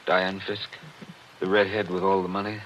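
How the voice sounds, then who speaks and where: A man playing a calm, courteous family friend, protective and dryly soothing, in a 1940s radio drama. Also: sits low, normal speed. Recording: noisy, dull 1940s radio recording.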